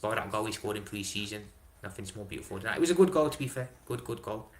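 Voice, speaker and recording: A young man hosting a casual live stream, reading chat aloud and answering in an excitable way, swearing and dropping slang. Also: fairly low pitch, fast talker. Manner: excitable, swears, London slang like innit and big up. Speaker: livestream host reading chat.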